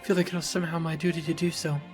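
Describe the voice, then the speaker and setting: An earnest young man with a gentle temper , acted by a man, speaks softly and reflectively. It is fantasy game acting with high pitch for a man and faint music behind. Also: level tone, very fast, natural voice. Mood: earnest, awed.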